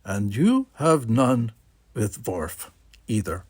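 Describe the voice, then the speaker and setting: A man's voice: on the low side, big pitch swings, a little slow, mellow. Man as a firm young cadet, protective brother. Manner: firm, urging.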